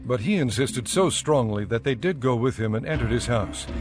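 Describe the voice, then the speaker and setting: A male narrator reads scripture in a medium-pitched, measured voice. Faint music plays behind his scripted storytelling. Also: animated. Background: faint background music.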